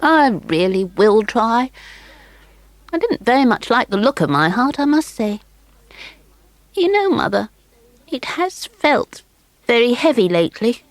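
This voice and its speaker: A woman putting on a proud little girl with a cold heart, prim and grudging. The voice sits high and bounces with lively pitch, recorded close and dry. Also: a little slow, long pauses, no echo.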